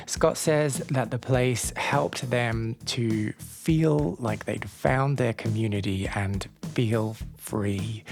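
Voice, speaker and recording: A podcast host reading a scripted opening and then sliding into easy chat, full of wry, self-mocking asides. The voice sits at a middle pitch with a gravelly, creaky texture. Faint music plays underneath.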